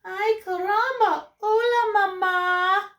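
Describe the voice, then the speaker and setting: A woman's voice: extremely high pitch, steady tone, takes it slowly, fairly bright tone, a little hiss or hum, pitched above the performer's own voice, full character voice. A woman voicing a tiny, needy Spanish-speaking baby chick in a storybook, exclaiming and pleading. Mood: startled delight. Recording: roomy echo, distant microphone.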